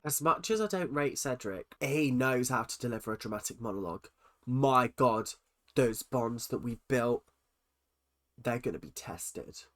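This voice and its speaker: Bright, nasal man with a cold. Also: mid-range voice, a little slow. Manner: excitable, chatty, swings between loud exclamations and muttered asides. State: stuffy-nosed from a cold. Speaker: reaction video host. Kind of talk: casual reaction commentary.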